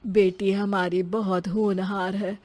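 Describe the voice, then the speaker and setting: A woman's voice: medium pitch, flat delivery, slow pace, a little mellow, no echo. A woman voicing a humble, anxious father of a bride in a cartoon story, speaking with pleading deference.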